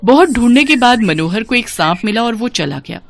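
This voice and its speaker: A woman's voice: fairly high pitch, expressive, fairly quick, creaky. A woman storyteller reading scripted fairy-tale narration for a cartoon in a dramatic storytelling manner.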